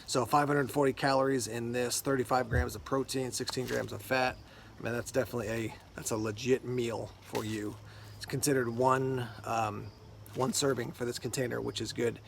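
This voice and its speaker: A man's voice: mid-range voice, fairly even intonation, moderate pace, gravelly, a little hiss or hum. A man presenting products in a casual unboxing video, explaining things in an informal, conversational way. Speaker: product reviewer and unboxing host.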